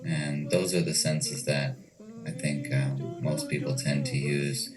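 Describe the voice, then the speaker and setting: Very deep-voiced American man, distant mic. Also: level tone, slow. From United States. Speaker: interview guest, ayahuasca retreat owner. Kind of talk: thoughtful interview answers. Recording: distant mic with clear music behind.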